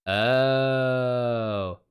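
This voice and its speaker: A man hosts a relaxed livestream at a medium pitch, talking casually to his chat. His delivery is informal and halting, full of slang and the odd swear word. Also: clear, resonant tone. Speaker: livestream host and writer. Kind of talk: casual livestream chat, reacting to viewer messages.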